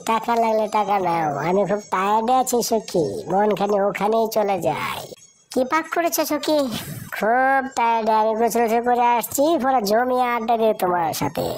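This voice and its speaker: A woman's voice: fairly high pitch, lightly acted. A woman voicing a chatty young working woman in a village cartoon, with a familiar manner and rural Bangladeshi regional Bengali. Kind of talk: cartoon dialogue in colloquial dialect. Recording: music behind the voice. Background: music.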